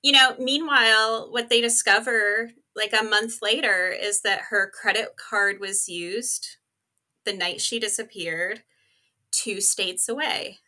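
A woman's voice: mid-range voice, fairly even intonation, takes it slowly, sharp tone. A woman speaking as a podcast guest, explaining a case in a casual, conversational storytelling way. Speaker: podcast co-host as interview guest.

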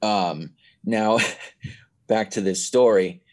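A man's voice: on the low side, very slow, darkish tone. A nineteen-year-old man chatting as a podcast guest, telling stories in a rambling way with many restarts. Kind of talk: casual chat.

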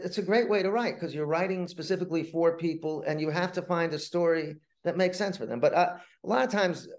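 Man telling stories with halting restarts. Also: a little high, darkish tone. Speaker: guest, playwright and TV writer. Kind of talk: casual anecdotal interview talk.

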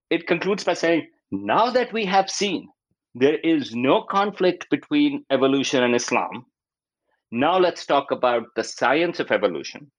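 A man's voice: medium pitch, poor audio. Middle-aged Pakistani-accented male researcher. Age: 50s. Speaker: academic researcher, astronomer. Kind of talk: interview answers explaining research.

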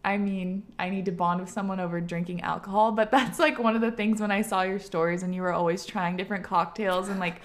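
A woman's voice: mid-range voice, little pitch movement, fast talker, few pauses. A young woman hosting a casual podcast, gushing and swearing freely. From Washington State, USA. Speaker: podcast host. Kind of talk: casual chat.

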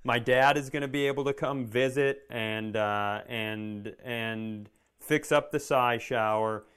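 Clear-voiced man telling sarcastic comic stories. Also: medium pitch, fairly even intonation, slow pace, a bit bright. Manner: sarcastic, swearing, acts out dialogue. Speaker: comedy podcast co-host. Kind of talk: comedic storytelling in casual chat.

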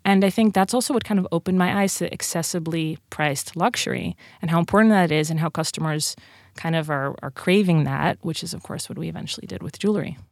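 Fast-talking Dutch-accented woman. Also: fairly low pitch, vocal fry, no echo, clean studio sound. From Netherlands. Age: 30s. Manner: chatty, informal, storytelling. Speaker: podcast guest, company co-founder. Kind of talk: casual interview answers.

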